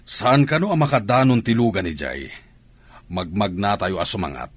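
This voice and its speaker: A man's voice: on the low side, lively intonation, normal speed, slightly rough, dry recording. A man playing a firm, terse military commander in an audio drama. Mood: stern, tactical. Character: firm military commander leading troops into battle.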